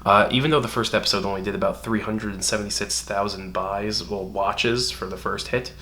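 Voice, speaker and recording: A man's voice: lowish voice, fairly even intonation, a little fast, pushed and bright, slight background noise. A man co-hosting a casual fan discussion, speaking emphatically and with some swearing. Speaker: co-host.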